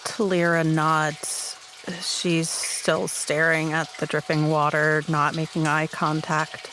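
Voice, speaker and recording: A woman's voice: low-pitched, level tone, slow pace, noisy background. A woman improvising story narration as a player in a tabletop roleplay game.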